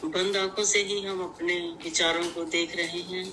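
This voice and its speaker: A woman leads a guided meditation in measured, deliberate, instructive short phrases. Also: lowish voice, little pitch movement, sharp tone, a little hiss or hum, poor audio.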